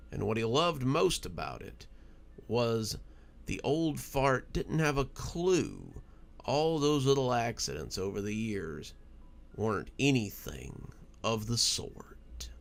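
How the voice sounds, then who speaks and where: A man narrating a horror story in a dramatic, expressive and deliberate storytelling style. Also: medium pitch, creaky.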